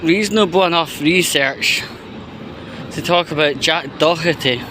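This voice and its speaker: A man giving casual opinion commentary in a halting, rambling, disapproving way. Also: on the high side, noisy background. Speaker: commentary YouTuber.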